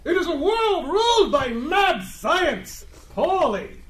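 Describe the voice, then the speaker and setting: A man playing a pompous, self-adoring gentleman adventurer, declaiming floridly in a melodramatic comedy radio play. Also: very high voice, very animated, slow pace, music in the background, exaggerated voice. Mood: bombastic narration.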